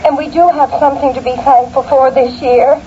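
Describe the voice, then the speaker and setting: A woman's voice: high voice, fairly even intonation, brisk, dark tone, close to an everyday voice. An actress playing a sociable, contented and slightly resigned married woman making a call in an old-time radio drama, chatty and theatrical. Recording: muffled noisy vintage radio recording.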